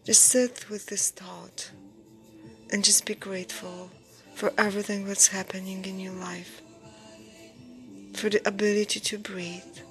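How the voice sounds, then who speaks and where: A woman guiding a meditation in a soft, breathy, near-whispered voice, soothing and unhurried, in short phrases with pauses. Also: mid-range voice, little pitch movement. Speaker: meditation guide. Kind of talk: guided meditation. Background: background music.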